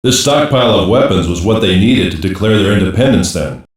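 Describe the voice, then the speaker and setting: A man playing a gruff, wisecracking special-operations cyborg soldier in an anime dub, blunt and sardonic with slangy delivery. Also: low-pitched, animated, a little fast, heavy reverb, far from the mic. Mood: musing. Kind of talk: English-dub anime voice lines.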